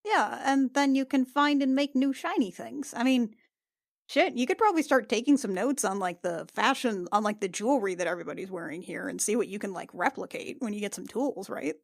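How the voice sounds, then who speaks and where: A woman with a fairly high voice plays a tabletop roleplaying game. She talks in loose, slangy, improvised chat, and the recording is very dry. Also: expressive, a little fast, creaky, no room sound. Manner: slangy, conversational.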